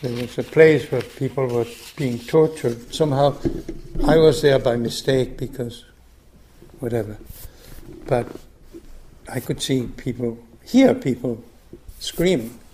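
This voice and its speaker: Older man, slow reflective delivery, mellow voice. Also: medium pitch, a little hiss or hum. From Argentina. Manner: slow, reflective, frequent restarts. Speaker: meditation group teacher. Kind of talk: dharma talk.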